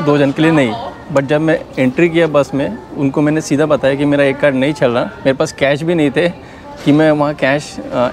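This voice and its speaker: Earnest Indian man vlogging in a store. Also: on the high side. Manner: earnest, explains step by step. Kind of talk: casual vlog talk mixing Hindi and English. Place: big-box store aisle, indoors.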